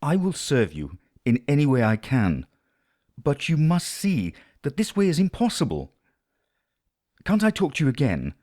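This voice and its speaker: Casting: compassionate young gentleman, read by a female narrator, very low and mellow, earnest, measured, for literary audiobook. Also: huge pitch swings, heavily acted. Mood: regretful, firm.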